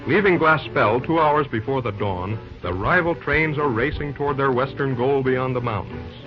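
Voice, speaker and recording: A dull old radio recording with music clearly behind the voice. A man narrates as announcer. His delivery follows the scripted style of 1940s radio serials. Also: mid-range voice, animated, normal speed. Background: prominent music.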